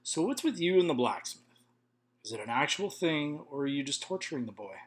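A man's voice: on the high side, animated, a little fast, lightly acted. A man doing a bossy teasing young princess.

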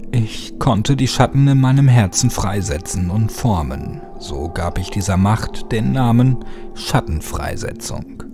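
A man's voice: mid-range voice, vocal fry. A man reading scripted horror audiobook narration as the storyteller. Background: music.

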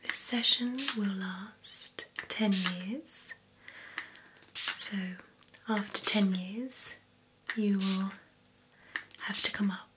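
Young woman as courteous vampire spa hostess, breathy whisper, very measured pace, attentive, ASMR roleplay, close binaural mic with some hiss. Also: mid-range voice, fairly even intonation. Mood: soothing, professional. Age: young adult. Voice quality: breathy, whispered soft speech. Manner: slow, soothing, formal, attentive. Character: poised, courteous female vampire spa beautician. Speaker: ASMR performer. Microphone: close binaural microphone.

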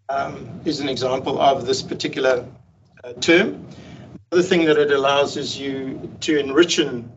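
Man presenting slides over a dull call. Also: medium pitch. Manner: relaxed, explanatory, frequent ums and restarts. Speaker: meetup presenter. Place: home room on a video call. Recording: dull lo-fi video call audio with faint hiss.

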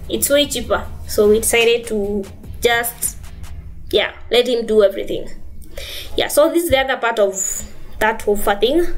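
Woman, Kenyan accent, casual chatty vlog, living room, phone mic, slightly high voice. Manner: chatty, keeps saying "guys" and "yeah". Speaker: vlogger.

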